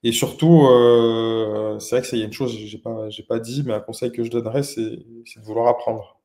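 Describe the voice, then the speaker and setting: Man, young adult, mellow voice, hesitant, lots of fillers and restarts, casual interview talk. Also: medium pitch, steady tone, moderate pace. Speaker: interviewed entrepreneur.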